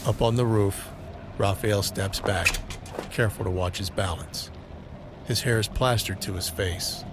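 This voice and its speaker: A man narrating a scripted audio drama in a deliberate, dramatic manner. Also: lowish voice, steady tone, noisy background. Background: dramatic music.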